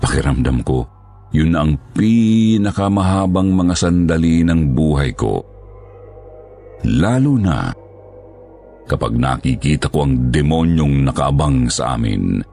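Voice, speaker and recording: A man giving dramatic scripted first-person narration of a horror story in a gravelly voice. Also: very deep voice, lively intonation, unhurried, pauses often, warm tone. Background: music.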